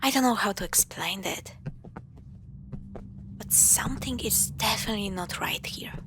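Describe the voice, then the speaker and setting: A woman playing a caring, playful young girlfriend in a spooky audio roleplay, talking straight to her partner in halting conversational phrases with sighs. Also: medium pitch, whispered, natural voice. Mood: uneasy. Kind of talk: spoken roleplay to the listener.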